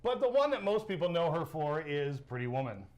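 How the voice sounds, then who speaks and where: A middle-aged American man chatting casually as an interview guest, telling personal stories in an animated way. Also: on the high side, fairly quick, lo-fi sound. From Southern California, United States. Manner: animated storyteller, acts out quoted dialogue. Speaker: interview guest, sports announcer. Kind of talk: casual chat, personal storytelling.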